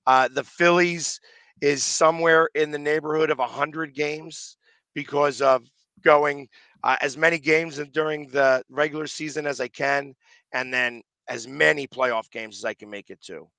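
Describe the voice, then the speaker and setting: A man's voice: fairly high pitch, fairly even intonation, normal speed, vocal fry, pushed and bright, clear, resonant tone, no echo. A middle-aged American man chatting casually as an interview guest, speaking into a headset. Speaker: guest, sports superfan. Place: room at home, on a video call.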